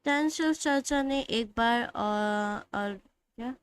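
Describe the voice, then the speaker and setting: A woman's voice: fairly high pitch, fast talker, clear, resonant tone, no echo, faint music behind. A woman with an Indian accent giving casual live-stream reactions to a video game. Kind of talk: casual reactions, mixing Hindi and English. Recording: faint noise, game ambience behind.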